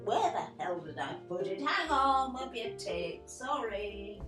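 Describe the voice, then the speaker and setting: A woman's voice: medium pitch, very animated, a little slow, echoey room, distant mic, over music. A woman playing a scatterbrained, tetchy middle-aged English wife who mutters and gets barbed, in an audio play. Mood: flustered.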